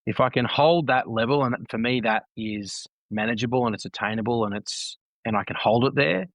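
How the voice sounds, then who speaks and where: A man's voice: medium pitch, fairly quick, fairly bright tone, no echo, lo-fi sound. A man in his thirties, a guest on a casual podcast, talking earnestly and reflectively and often repeating phrases. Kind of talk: casual conversation.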